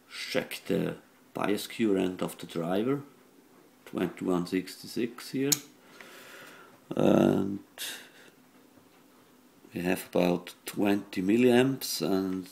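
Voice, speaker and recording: A man speaks English as a second language in a fairly low voice. He talks very slowly and haltingly, explaining technical work as he does it. His delivery is plain and matter-of-fact. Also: gravelly. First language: not a native English speaker. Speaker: radio repair hobbyist. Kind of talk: hands-on technical demonstration, improvised commentary. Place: indoors at an electronics workbench.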